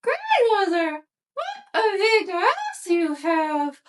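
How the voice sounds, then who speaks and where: A woman voicing a sweet young girl in a red cloak through a ventriloquist doll, speaking high, slow and sing-song. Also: huge pitch swings, exaggerated voice. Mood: innocent.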